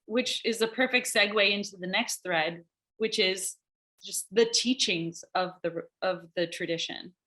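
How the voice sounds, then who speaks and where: A woman guest on a talk show, with a medium-pitched voice. She speaks thoughtfully and lays out her ideas one after another. Her tone stays conversational as she goes. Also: unhurried, fairly bright tone. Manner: thoughtful, structured, lays out points in order. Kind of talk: casual conversational interview.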